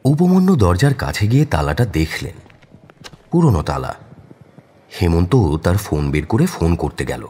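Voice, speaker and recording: Deep-voiced man narrating a thriller. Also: very animated, moderate pace, warm tone, husky. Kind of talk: scripted audio story narration, dramatic.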